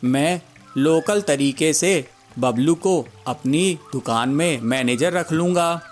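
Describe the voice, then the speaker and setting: A man's voice: fairly high pitch, slow pace, soft background music. A man voicing a helpful, prosperous male bird friend in a cartoon story, talking in a familiar, persuasive way. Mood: friendly, persuasive.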